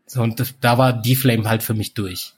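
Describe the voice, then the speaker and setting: A man in his forties telling stories in a relaxed, rambling way, full of fillers, as a guest in a casual chat. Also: medium pitch, normal speed, a little hiss or hum. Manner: relaxed storyteller, many fillers like "halt" and "irgendwie". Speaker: podcast guest.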